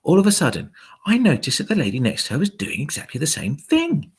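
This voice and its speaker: A man reading a children's story aloud in a dramatic, theatrical way, with hushed, suspenseful dips. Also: fairly high pitch, wildly swooping pitch, quick pace, slightly rough.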